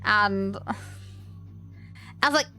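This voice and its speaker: A woman's voice: medium pitch, extremely slow, sharp tone, faint music behind. A woman livestreamer with a slight cold, chatting casually in a hesitant, rambling way.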